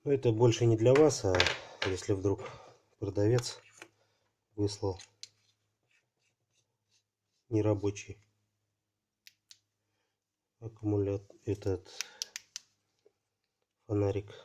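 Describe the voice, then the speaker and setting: A man hosting a casual unboxing video, talking haltingly and thinking aloud while his voice is affected by a cold. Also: on the low side, steady tone, mellow, a little hiss or hum. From Russia. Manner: halting, thinking aloud, many fillers like "so" and "there". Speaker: channel host unboxing parcels.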